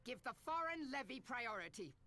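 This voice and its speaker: A woman playing a commanding fantasy admiral in a video game, issuing crisp, authoritative orders. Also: sits high, very animated, moderate pace, bright, forceful tone, slight background noise, exaggerated voice. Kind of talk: dramatic video game acting.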